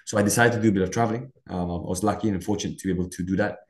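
A man's voice: deep voice, fairly even intonation, rapid-fire. A man from Malaysian Borneo giving conversational interview answers, rambling and leaning on "you know" and "sort of". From Sarawak, Malaysian Borneo. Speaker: guest. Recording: roomy echo.

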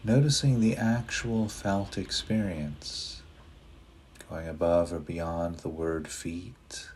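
A man leading a guided meditation in a soft, breathy voice, giving gentle, unhurried instructions with long pauses. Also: low-pitched, a little hiss or hum.

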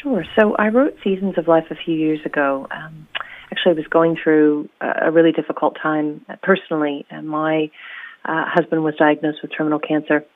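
A woman's voice: deep voice, steady tone, a little fast. A woman from Oregon with an American accent slightly shifted by New Zealand, chatting bubbly as a musician guest, laughing often and using many fillers. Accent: American with a New Zealand tinge. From Oregon, USA. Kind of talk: casual interview chat. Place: at home in New Zealand, over a call. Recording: tinny phone line.

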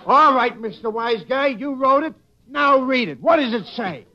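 A man playing a gruff, wisecracking judge with a New York accent in a 1940s radio comedy, slangy and bossy. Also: extremely high pitch, animated, moderate pace. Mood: bossy, sarcastic. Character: gruff, wisecracking Brooklyn judge, obsessed with baseball. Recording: noisy, dull old-time radio recording.

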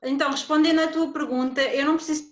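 A woman's voice: high voice, fairly even intonation, fast talker, slight background noise. A woman with a European Portuguese accent, chatting casually about books as a podcast co-host. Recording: roomy, dull muffled sound.

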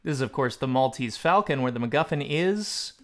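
A man's voice: medium pitch, lively intonation, brisk. A man hosting a podcast film quiz with joking banter and a mock-formal quizmaster delivery. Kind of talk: comic film trivia banter.

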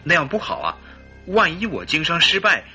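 A man's voice: on the high side, wildly swooping pitch, normal speed, pushed and bright, soft background music, heavily acted. A man acting a polite, earnest, troubled English husband in his early thirties in a Chinese radio drama. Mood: concerned, puzzled.

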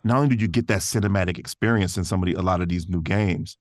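A man with a low-pitched voice talks casually as an interview guest. He sounds earnest and enthusiastic, phrasing things in a loose, slangy way. The sound is dry, with very little room in it. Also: fast talker, no echo. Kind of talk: casual conversation.

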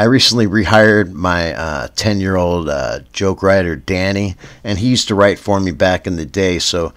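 A man with a Canadian accent hosting a spoof news podcast, reading headlines deadpan and drifting into rambling stoner asides that trail off with "man.". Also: sits low. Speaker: comedy news podcast host.

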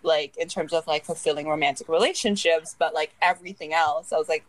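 A woman in her twenties talks through her thoughts on a casual podcast, with a voice that sits a little low. She rambles and scatters "like" through nearly every sentence. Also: fairly even intonation, a little fast, no room sound, rough recording. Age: 20s. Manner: rambling, leans heavily on "like". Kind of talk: casual chat.

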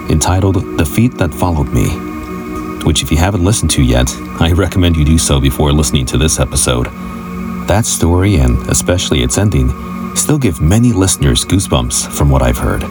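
A man who hosts and produces a podcast, delivering a scripted introduction. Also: sits low.

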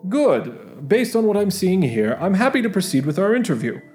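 Man acting high-voiced, polite corporate interviewer. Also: big pitch swings, moderate pace. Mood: polite, businesslike. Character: polite, businesslike male corporate job interviewer. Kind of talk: scripted audio drama dialogue. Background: quiet music.

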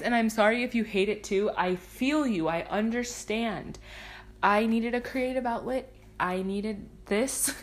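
Young woman, first language Spanish, rambling solo podcaster, self-deprecating and swears freely. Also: on the high side. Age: 20s. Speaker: podcast host. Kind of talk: casual solo podcast monologue.

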